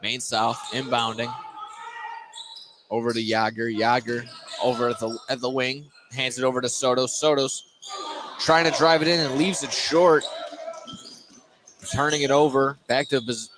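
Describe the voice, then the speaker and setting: A man's voice: mid-range voice, fairly even intonation, a little slow, pauses often, background noise. A man doing live radio play-by-play of a basketball game as the announcer, courtside in a gym.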